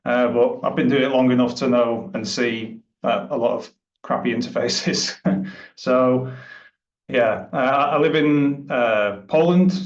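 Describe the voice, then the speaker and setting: A man from the UK with a British accent, presenting his software at a meetup over a video call, hesitant with many fillers and restarts. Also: mid-range voice, unhurried. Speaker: presenting developer. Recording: distant, roomy, dull sound.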